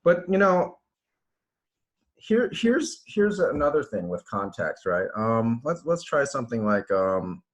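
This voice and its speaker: A man lectures in an informal, halting way, restarting phrases and checking that listeners follow. His voice sits at a medium pitch and carries noticeable room echo, like a class held over a video call. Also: big pitch swings. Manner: halting, with self-corrections and checking "right?". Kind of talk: informal slide lecture with live demos.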